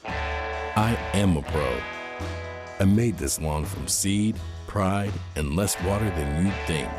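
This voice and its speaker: An actor playing a proud, self-assured lawn-care pro in a TV commercial. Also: male voice, low-pitched, takes it slowly. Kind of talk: TV commercial monologue. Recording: loud music bed under voice.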